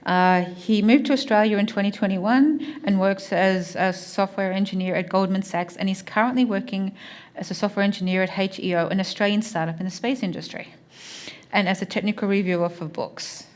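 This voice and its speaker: A woman's voice: medium pitch, steady tone, brisk. A woman chairs a conference session and introduces the next speaker, reading his bio with a few fillers. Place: conference hall.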